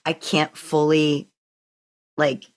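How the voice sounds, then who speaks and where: Low-voiced woman hosting a casual podcast chat. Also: monotone, very slow. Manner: prompting with questions. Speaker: podcast host.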